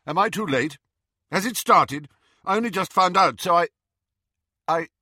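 Narrator doing a pompous young prig, raised and clipped. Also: male voice, high voice, lively intonation, a little slow, pushed and bright, dry recording, studio quality, voice pushed up, exaggerated voice. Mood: flustered. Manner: spluttering, pompous. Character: pompous young man, flustered and remorseful.

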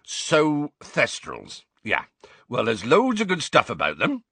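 A man voicing a flustered, good-natured giant of a teacher, with halting, informal speech. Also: mid-range voice, huge pitch swings, vocal fry, bright, forceful tone, husky, dry recording, voice pushed up, exaggerated voice.

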